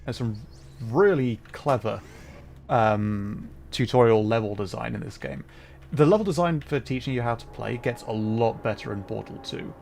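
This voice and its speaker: Male VTuber streamer: mid-pitched, chatty and rambling, casual gameplay talk with faint noise behind. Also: moderate pace, creaky, a little mellow. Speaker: livestreamer, VTuber. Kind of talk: casual gameplay commentary and chat. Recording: faint background noise, game ambience.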